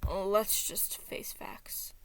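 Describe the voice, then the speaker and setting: A woman voicing a gloomy, self-doubting male figure of anxiety, cool, guarded and sardonic. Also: drawn-out words.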